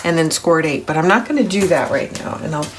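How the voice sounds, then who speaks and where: A middle-aged woman, a little gravelly from grogginess, hosting a papercraft tutorial in a chatty, step-by-step way. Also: sits low, expressive, quick pace, background noise. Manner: chatty, step-by-step, self-correcting. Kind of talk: crafting tutorial voice-over.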